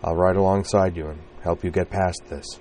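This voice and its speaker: Male reader voicing an earnest devoted young knight, deep and soft-spoken. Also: fairly even intonation, fairly quick, a little hiss or hum, natural voice. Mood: tender, earnest. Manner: soft, earnest, low-key delivery.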